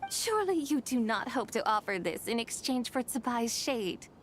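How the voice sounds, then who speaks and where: A woman's voice: a little high, sing-song, normal speed, a little hiss or hum. An actress playing a haughty, amused immortal woman in a video game, with arch, teasing, old-fashioned formal diction. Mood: skeptical, arch.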